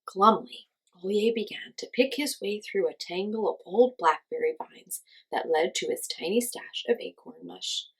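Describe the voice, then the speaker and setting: A woman reading a children's story aloud as host, in an expressive storytelling style. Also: medium pitch, a little slow, gravelly, husky, rough recording.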